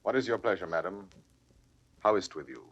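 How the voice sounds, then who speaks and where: A deep, smooth-talking schemer. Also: male voice, animated, brisk, lo-fi sound. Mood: feigned concern. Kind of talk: classical Shakespearean stage acting on record.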